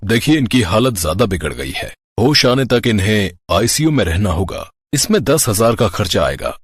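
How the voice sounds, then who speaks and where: A man's voice: on the low side, very animated, normal speed, no room sound. A man playing a hospital doctor in a moral story, formal and matter-of-fact. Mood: businesslike.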